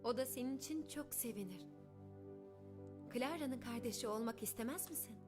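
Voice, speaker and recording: Caring, persuasive cartoon aunt, coaxing, fairly high, acted by a woman, music clearly behind. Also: moderate pace, close to an everyday voice. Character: young aunt, caring but firmly persuasive. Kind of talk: dubbed cartoon acting.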